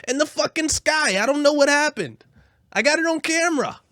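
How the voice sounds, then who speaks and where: A man voicing an excitable, foul-mouthed New York eyewitness with an emphatic, repetitive, incredulous way of talking and a New York accent. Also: very high-pitched, fairly quick, no room sound, clean studio sound, voice pushed up, heavily acted. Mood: frantic, incredulous.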